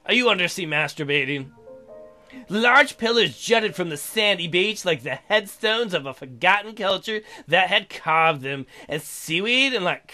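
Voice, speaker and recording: Guy with a high bright voice doing a let's play, reading the game text then goofing on it, cheeky and irreverent. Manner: irreverent, playful, mocking. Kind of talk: gaming commentary, reading visual novel aloud with crude joking asides.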